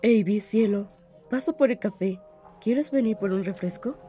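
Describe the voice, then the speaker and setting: A woman's voice: medium pitch, moderate pace, over loud music, lightly acted. A woman voicing a friendly grown-up lady cat in a cartoon fan dub, with a Latin American Spanish accent. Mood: kindly.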